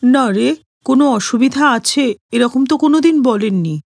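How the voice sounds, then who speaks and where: Woman playing a patient cartoon mom, kind and soothing, highish voice, very dry recording. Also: animated, moderate pace, creaky, a little mellow, no room sound. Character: patient, kindly mother of a grown son. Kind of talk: animated moral story cartoon dialogue.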